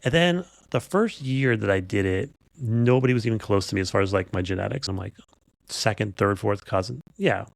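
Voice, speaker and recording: A man's voice: on the low side, fairly quick, creaky, no echo. A man hosting a podcast, chatting casually in an informal, rambling storytelling way. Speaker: podcast host. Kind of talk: casual unscripted podcast chat.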